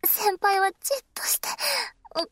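A woman playing a very high, stammering timid young lover. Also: normal speed, dry recording. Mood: flustered. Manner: stammering, breathy; whispering. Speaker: voice actress. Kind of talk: intimate audio drama.

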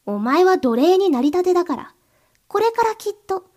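A woman playing a very high-voiced, cold, domineering mistress. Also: big pitch swings, normal speed, a little mellow. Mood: commanding. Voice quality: commanding, contemptuous, curt orders. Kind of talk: erotic second-person audio drama.

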